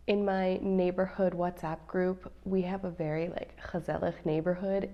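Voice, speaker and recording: A woman's voice: medium pitch, muffled recording. An American woman in her thirties, a mom vlogging in a chatty, playful way straight to her viewers. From United States. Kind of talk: casual chat to camera.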